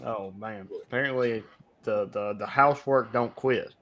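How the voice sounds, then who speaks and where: A young man co-hosting a casual podcast from home, talking in a loud voice that carries and telling stories in a chatty way. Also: fairly low pitch, normal speed, dull audio. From United States. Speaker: podcast co-host. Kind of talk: casual chat. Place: home, remote recording. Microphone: home podcast mic.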